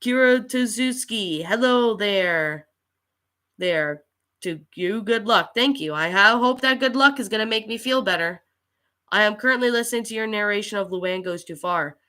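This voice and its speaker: The woman you would find hosting a small hobby livestream while nursing a cold, greeting commenters one by one in a bright, halting voice. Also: mid-range voice. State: getting over a cold with allergies. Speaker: livestream host and creepypasta narrator. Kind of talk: casual livestream chat, reading and answering viewer comments.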